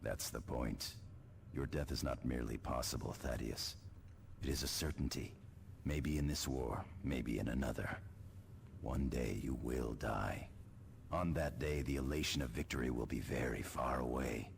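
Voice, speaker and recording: An actor playing a grim, morbid veteran space marine sergeant in a video game, gravelly and solemn. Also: male voice, extremely low pitch, normal speed, a little hiss or hum. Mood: grim, fatalistic. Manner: solemn, fatalistic.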